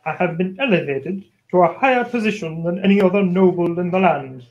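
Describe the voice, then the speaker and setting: Haman, high-voiced vain noble acted by a man. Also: expressive, brisk, muffled recording. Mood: indignant, proud. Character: vain, resentful male Persian noble, Haman. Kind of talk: amateur radio play acting. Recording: faintly noisy amateur recording.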